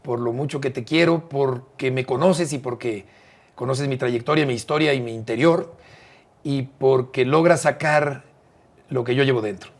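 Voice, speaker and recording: A man's voice: medium pitch, moderate pace. A middle-aged Mexican man with a Mexican accent, a radio broadcaster talking as an interview guest, earnest and affectionate in a casual conversation. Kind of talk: warm casual interview talk.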